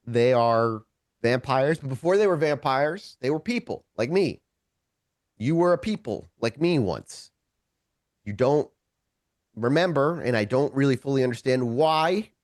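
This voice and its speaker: A man playing a nervous, well-meaning ordinary human in a tabletop game, talking casually in a halting, stammering way. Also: mid-range voice, a little mellow, no room sound, clean studio sound.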